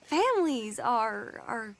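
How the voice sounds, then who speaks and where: Casting: rebellious young Southern US woman suffragette, highish lively voice, video game drama. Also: takes it slowly, heavily acted. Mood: wry, hesitant. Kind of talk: video game cutscene acting.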